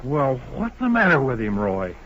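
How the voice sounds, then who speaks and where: An actor playing an excitable, loyal cowboy sidekick in a radio western, talking in folksy, exclamatory country phrases. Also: male voice, medium pitch, moderate pace. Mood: puzzled, excited. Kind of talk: 1950s radio western acting. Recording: dull, noisy old radio recording.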